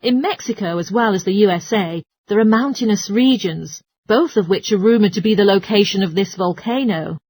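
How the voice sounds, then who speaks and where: A woman's voice: medium pitch, normal speed, hardly any pauses, a little mellow, dry recording, close to an everyday voice. A woman playing a helpful, studious geography student in a scripted listening-test dialogue. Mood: studious, explaining.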